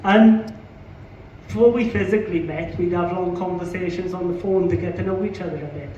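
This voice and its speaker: High-voiced Welsh man doing stand-up. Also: brisk, a little mellow, a little hiss or hum. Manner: punchy, sweary, self-mocking delivery. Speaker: stand-up comedian. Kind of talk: stand-up comedy set. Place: pub comedy night. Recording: echoey pub room, distant muffled mic.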